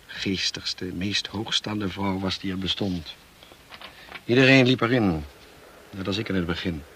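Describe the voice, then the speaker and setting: A noisy old radio drama recording carries a tormented husband. His voice is very low and creaky. He speaks gravely and bitterly to his wife, with heavy dramatic pauses. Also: fairly bright tone. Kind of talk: Dutch radio play acting.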